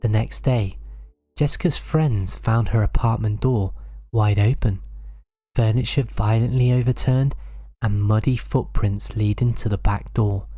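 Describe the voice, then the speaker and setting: A man narrating scripted horror stories in a measured, dramatic storytelling manner. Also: fairly low pitch, warm tone, dry recording, clean studio sound.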